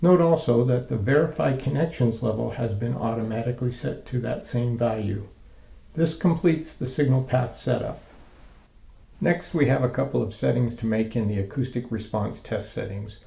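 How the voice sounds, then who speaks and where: A man narrating a scripted software tutorial in a measured, methodical, step-by-step way. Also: mid-range voice, creaky, echoey room.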